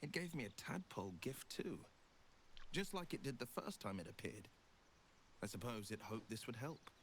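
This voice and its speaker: A man playing a resolute, gallant young adventurer in a video game, speaking in an earnest, measured way. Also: mid-range voice, sing-song, fairly bright tone, a little hiss or hum. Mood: earnest, reflective. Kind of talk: video game dialogue acting.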